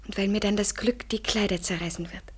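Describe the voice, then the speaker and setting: An actress in a German radio play as a young woman consumed by desperate love, speaking slowly and intensely in a breathy near-whisper. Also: mid-range voice, fairly even intonation, a little hiss or hum, lightly acted. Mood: feverish, pleading. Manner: slow, halting, intense, poetic delivery. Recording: 1970s radio drama studio recording.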